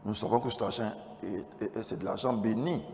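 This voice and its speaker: A man with an Ivorian French accent giving a testimony as a pastor at a church convention, his throat strained by a cold, moving between quiet asides and loud exclamations. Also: fairly low pitch, brisk. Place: large church convention hall. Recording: reverberant hall PA, noisy background.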